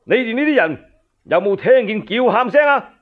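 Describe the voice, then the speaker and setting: A man with a Cantonese accent voicing an imposing imperial general in a storytelling broadcast, loud and declaiming. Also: extremely high pitch, expressive, normal speed, voice pushed up. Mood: commanding. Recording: dull lo-fi radio recording.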